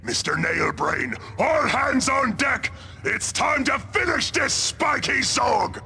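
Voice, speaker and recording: A man voicing a brash ork pirate warboss in a video game, gravelly and bellowing. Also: extremely high pitch, very animated, slow pace, shouting, heavy background noise, exaggerated voice. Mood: commanding. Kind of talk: comic, brawling video game cutscene acting. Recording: music under the voice.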